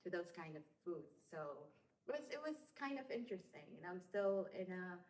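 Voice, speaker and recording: Relaxed young woman guest, low, roomy echo. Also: normal speed. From Somalia, raised in Saudi Arabia. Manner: relaxed storytelling, many fillers and likes. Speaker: podcast guest. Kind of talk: casual interview chat. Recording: roomy echoey room.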